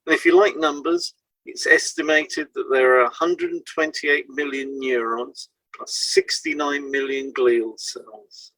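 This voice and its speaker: A man presenting a scripted educational podcast in a measured, explanatory way, setting out items one by one. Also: medium pitch, fairly even intonation, bright, forceful tone, dry recording, rough recording.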